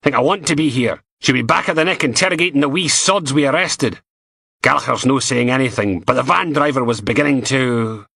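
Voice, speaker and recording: Guy putting on a gravelly crude lady detective, raised up high, bouncy and bright. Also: animated, brisk, no room sound, heavily acted. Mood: brash. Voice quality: growling, brash, crude. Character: gruff foul-mouthed middle-aged woman police inspector. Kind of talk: audiobook character voice.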